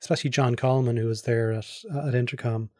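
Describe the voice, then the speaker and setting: Guy hosting a podcast, mellow mid voice, close dry sound, kinda tentative questions. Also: medium pitch, little pitch movement, fast, no room sound. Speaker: podcast host, interviewer. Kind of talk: casual interview conversation.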